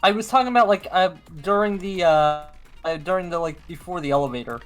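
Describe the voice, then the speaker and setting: Higher-voiced rambling guy on stream. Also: brisk. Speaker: co-commentator on a game stream. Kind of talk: casual group chat. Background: game music.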